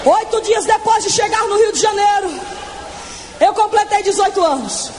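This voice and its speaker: A woman in her twenties preaching her testimony in a fervent, shouting evangelical sermon, stretching words for emphasis. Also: very high voice, moderate pace, a little hiss or hum. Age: 20s.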